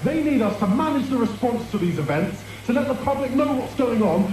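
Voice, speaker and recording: Guy acting as angry sweary tv boss, really high voice swinging all over, echoey and far off. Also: darkish tone. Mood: threatening. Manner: bullying, demanding, swearing. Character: furious, foul-mouthed male TV studio boss. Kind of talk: dramatic dialogue in analog horror. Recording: echoey, distant, faint noise.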